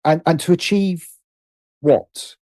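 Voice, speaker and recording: A man speaking as an interview guest in a casual, conversational way, animated but halting, often restarting and repeating phrases as he thinks aloud. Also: a little high, no room sound, clean studio sound. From Britain. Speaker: interview guest, writer and producer.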